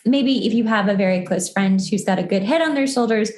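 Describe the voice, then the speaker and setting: A woman's voice: medium pitch, a little fast, a little mellow. A woman talking casually and informally on a podcast as host, peppering her talk with "like" and acted-out asides. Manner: informal, heavy filler "like", self-quoting asides. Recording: roomy, echoey sound.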